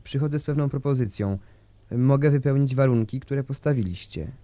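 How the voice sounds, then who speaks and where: An actor playing an opportunistic, treacherous secretary of state, formal and deferential, in a radio drama. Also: male voice, medium pitch, fairly quick, mellow, dry recording, close to an everyday voice. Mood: servile scheming.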